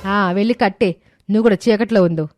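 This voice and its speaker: A woman's voice: medium pitch, quick pace, darkish tone, lightly acted. A woman voicing a lazy, grumbling mother-in-law in a moral story, scolding and complaining in colloquial speech. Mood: defiant. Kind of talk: dramatised moral story acting. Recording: music behind the voice.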